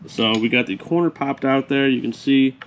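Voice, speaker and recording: A man's voice: mid-range voice, normal speed, a little hiss or hum. A man hosting a casual car how-to video, sounding nasal from a cold as he explains the steps with plenty of fillers. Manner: relaxed, filler-heavy explaining while working on a car. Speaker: car DIY channel host. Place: garage beside the car.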